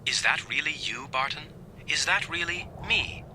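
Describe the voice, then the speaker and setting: A man's voice: fairly high pitch, animated, normal speed, noisy background, close to an everyday voice. An actor playing a taunting young man in his twenties, a cruel recorded self in a radio drama, speaking with theatrical, mocking emphasis. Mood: cool, detached. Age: 20s. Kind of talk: old-time radio drama acting.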